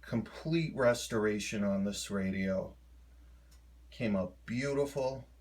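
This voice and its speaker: A middle-aged man giving a casual, halting show-and-tell as a hobbyist, unhurried and keen on the details. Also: on the low side, a little mellow. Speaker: hobbyist presenter of a radio collection.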